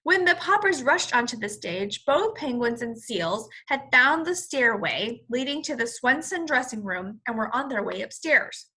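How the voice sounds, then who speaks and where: A woman with a bright, fairly high voice reads a children's story aloud. She sounds like a teacher, expressive and explanatory in her delivery. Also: unhurried, few pauses, breathy edge. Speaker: first-grade teacher.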